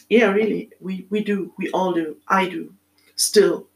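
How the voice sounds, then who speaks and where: Slow, thoughtful woman with a German accent. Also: mid-range voice, fairly even intonation, gravelly, darkish tone, poor audio. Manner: thoughtful, halting, with many pauses and fillers. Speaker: podcast host. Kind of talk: reflective solo monologue.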